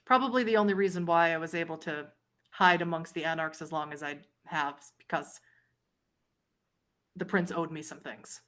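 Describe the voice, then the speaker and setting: Woman playing a weary, low-voiced old lady vampire. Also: moderate pace, a bit bright. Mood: weary, defensive. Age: older.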